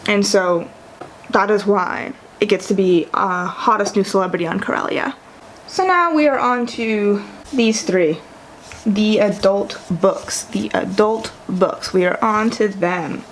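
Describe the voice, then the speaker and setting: A woman's voice: medium pitch, measured pace, a little hiss or hum, low-quality recording. A young woman vlogging casually about books, chatty and enthusiastic, correcting herself often and using plenty of fillers. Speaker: book vlogger. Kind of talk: casual vlog tier ranking.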